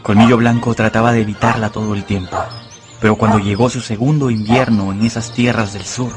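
A man's voice: fairly low pitch, level tone, a little fast, music in the background. A man narrating a radio drama from a script in a storytelling style.

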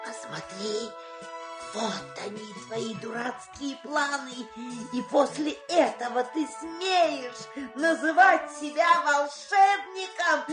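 A woman's voice: high-pitched, reverberant. An actress playing an evil, shrewish queen in a fairy-tale audio play, scolding with furious contempt.

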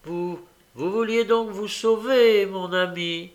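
A man's voice: high-pitched, steady tone, slow, pitched above the performer's own voice. A man voicing a sly, mocking old gang master in an audiobook story, wheedling and menacing by turns. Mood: mocking threat.

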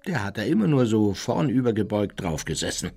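Husky, low-voiced unrepentant old man. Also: very animated, fairly quick, dark tone, heavily acted. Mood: smug reminiscing. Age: older. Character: unrepentant old Nazi war criminal, smug and cold. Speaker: narrator of his own memoirs. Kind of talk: radio drama monologue, reminiscing.

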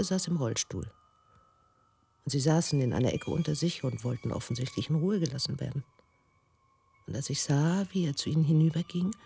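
An actress in a German radio drama monologue, playing a troubled, brooding woman recalling the past in a measured, intimate way. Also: deep voice, creaky, dark tone, slight background noise. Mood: wistful recollection.

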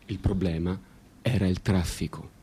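A man's voice: sits low, steady tone, moderate pace. A man delivering a scripted theatrical monologue as narrator, with a solemn, ironic air of mock nostalgia. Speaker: narrator and performer.

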